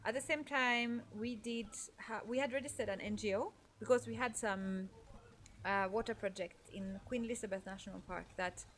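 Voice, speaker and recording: A woman from Norway talks through her story as a podcast guest, pausing on fillers and restarting her sentences as she thinks. Her voice sits at a middle pitch. A faint ambient noise runs underneath. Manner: thoughtful, with frequent fillers and restarts. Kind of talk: conversational interview storytelling.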